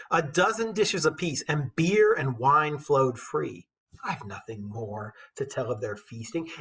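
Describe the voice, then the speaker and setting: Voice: medium pitch, fairly bright tone, husky. A teacher reading a poem aloud with dramatic storytelling emphasis, breaking into casual, informal commentary.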